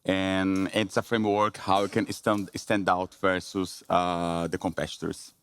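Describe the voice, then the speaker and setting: A man in his thirties from Brazil with a Brazilian Portuguese accent, giving a conference presentation in a halting way with many fillers and restarts. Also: sits low, level tone, fairly bright tone, clean tone.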